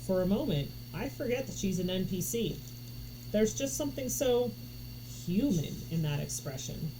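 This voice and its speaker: A woman reading a story aloud in an expressive narration style. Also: medium pitch, moderate pace. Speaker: audiobook reader. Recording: noticeable background noise.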